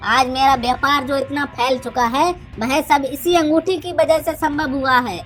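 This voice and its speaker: A woman's voice: sits high, fairly even intonation, normal speed, soft background music, lightly acted. A woman voicing an arrogant, spiteful, boastful village headman, a cunning younger brother in a Hindi cartoon story. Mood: smug. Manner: boastful, scornful.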